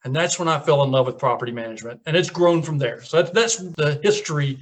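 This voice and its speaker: Brief: fifties Southern man, Tennessee accent, folksy storyteller voice, a bit higher pitched. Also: fast talker. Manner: folksy storytelling, chuckles at own lines. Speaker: guest property manager. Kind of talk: casual radio interview.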